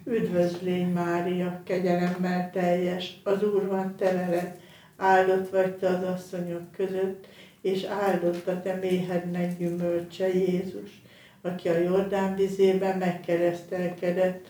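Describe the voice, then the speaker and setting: A woman leading a rosary, reciting the prayer in a measured, monotone way in a very echoey space, away from the mic. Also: fairly low pitch, distant mic.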